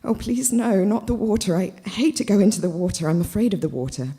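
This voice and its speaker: Timid young girl, read by a woman, a reluctant playmate, hesitant and pleading, pitched up, for a literary story. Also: brisk, mellow, lightly acted. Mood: frightened, pleading.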